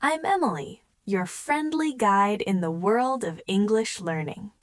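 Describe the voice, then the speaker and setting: German-native woman hosting an english learner podcast, super slow and clear, friendly, dry close sound. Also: mid-range voice, lively intonation, no echo. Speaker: podcast co-host. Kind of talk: scripted learner podcast chat.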